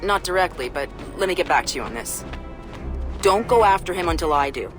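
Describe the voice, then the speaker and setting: A cool female intelligence handler from a video game , acted by a woman. Her voice sits a little low, and she gives instructions briskly and with firm composure. Music plays clearly underneath. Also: expressive, natural voice. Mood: businesslike. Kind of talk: video game cutscene acting. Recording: music behind the voice.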